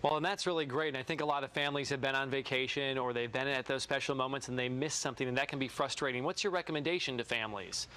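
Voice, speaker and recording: A man's voice: medium pitch, fairly even intonation, quick pace, hardly any pauses, pushed and bright, slight background noise. A man hosting a morning talk show, interviewing in an upbeat presenter style.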